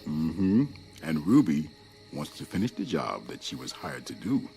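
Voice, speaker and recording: A very deep-voiced otherworldly sage in a radio drama. He is slow, terse and cryptically amused, with faint music behind. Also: big pitch swings, warm tone, full character voice. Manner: terse, cryptic, chuckling answers. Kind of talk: radio play acting.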